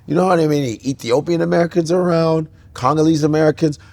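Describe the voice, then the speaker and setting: A man co-hosting a podcast, telling comic stories in an animated way and slipping into voices and accents. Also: fairly high pitch, quick pace, vocal fry. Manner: lively, slips into voices and accents to act out stories. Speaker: comedian and podcast co-host.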